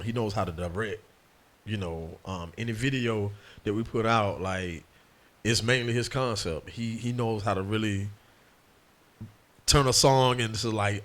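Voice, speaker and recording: A man's voice: lowish voice, fairly bright tone. A man, a music producer, talking in a laid-back casual interview and often tagging "and whatnot".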